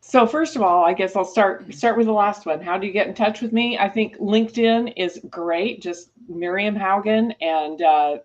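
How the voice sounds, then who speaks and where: A woman guest on a video call, talking thoughtfully and pausing with fillers as she gives her advice. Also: medium pitch, lo-fi sound. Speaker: guest, podcast host. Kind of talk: casual interview chat.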